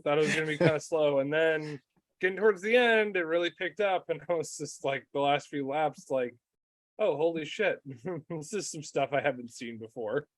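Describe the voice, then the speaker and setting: A man's voice: on the high side, normal speed, no room sound. A young man co-hosting a casual podcast, talking in a laid-back way with many fillers and laughs. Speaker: podcast co-host. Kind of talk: casual chat.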